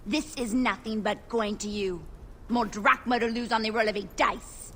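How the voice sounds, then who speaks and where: A woman's voice: on the high side, fairly even intonation, normal speed, a bit bright, close to an everyday voice. An actress voicing a stern, accusing priestess who defends her ancestors. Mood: indignant. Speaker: game character. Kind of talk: video game cutscene acting. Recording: game audio with faint ambient noise.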